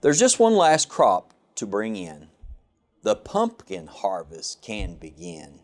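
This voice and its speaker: Male storybook reader, lower-pitched, unhurried, with expressive sing-song phrasing. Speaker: government official reading a children's picture book. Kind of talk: storybook read-aloud.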